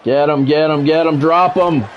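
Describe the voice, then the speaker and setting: A man streaming a football video game, giving excitable play-by-play and bluntly talking at the players in short, urgent, repeated phrases. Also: fairly high pitch, normal speed, muffled recording, slight background noise. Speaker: gaming streamer doing play-by-play.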